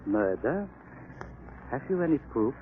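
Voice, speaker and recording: A man playing a cool, formal, deferential hospital scientist in 1930s radio drama, measured and quietly ominous. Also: mid-range voice, very animated, slightly rough. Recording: tinny, noisy 1930s radio.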